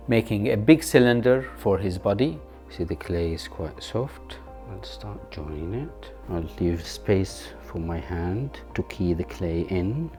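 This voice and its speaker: A man's voice: on the low side, dark tone. A man from Syria with a Syrian Arabic accent, a native Arabic speaker, explaining craft as a sculptor in plain steps with slightly non-native grammar. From Aleppo, Syria. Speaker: sculptor and archaeologist. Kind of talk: explaining craft, documentary narration.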